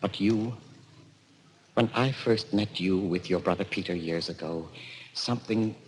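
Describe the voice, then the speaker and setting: An actor playing an intense, obsessed husband in a 1940s radio drama, speaking in a brooding, theatrical, fervent manner. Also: male voice, deep voice, unhurried, a little hiss or hum, rough recording. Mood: low, earnest. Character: intense, obsessed husband, worshipper of death and destruction.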